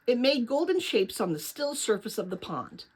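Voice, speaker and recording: A woman narrating a children's novel aloud in an expressive storybook style. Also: fairly high pitch, moderate pace, slightly rough.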